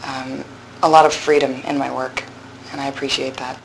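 Woman, 20s, low resonant voice, drama student, reflective, halting, faint background hiss. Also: level tone, creaky, rough recording. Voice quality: low voice with strong body resonance. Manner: thoughtful, hesitant, many fillers and restarts. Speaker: acting graduate student, interviewee. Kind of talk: reflective conversational interview.

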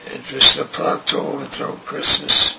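An older man recalling his radio career in an interview, speaking haltingly and restarting often. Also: medium pitch, steady tone, creaky. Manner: slow, halting, with many restarts. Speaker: interviewee, radio writer and director. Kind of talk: reminiscing interview. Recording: noisy recording.

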